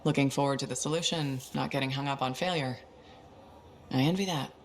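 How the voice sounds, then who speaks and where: A woman's voice: extremely low pitch, fairly quick. An actress playing a confident, easygoing female freelancer hero in a video game, with a casual, dry manner. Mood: reflective. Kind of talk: video game cutscene acting.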